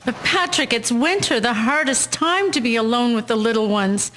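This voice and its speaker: A woman's voice: on the high side, animated, moderate pace, a bit bright. A woman acting an earnest, emotional young wife and mother in a historical audio drama. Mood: pleading. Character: anxious, pleading young wife and devoted mother, 1910s.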